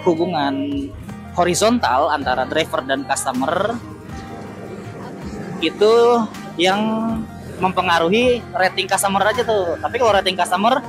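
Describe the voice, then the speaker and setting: A man chatting casually as a motorcycle taxi driver, explaining things with halting self-repeats over a helmet intercom while riding. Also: sits high, music in the background. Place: outdoors, riding a motorcycle through city streets. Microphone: helmet intercom headset.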